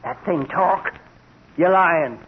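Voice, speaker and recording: Blunt country sheriff: husky, barking, high-voiced, tinny vintage radio recording. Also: male voice, slow pace. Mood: suspicious, agitated. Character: gruff, suspicious, excitable country sheriff. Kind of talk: 1940s radio acting. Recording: thin, phone-like old radio recording.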